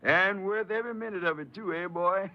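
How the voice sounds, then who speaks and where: A man's voice: high voice, fairly quick, lightly acted. An actor playing a pious, wheedling old father in a 1950s American radio drama, folksy and cajoling. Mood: proud, pious. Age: older. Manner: folksy, scripture-quoting, cajoling. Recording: muffled old radio recording.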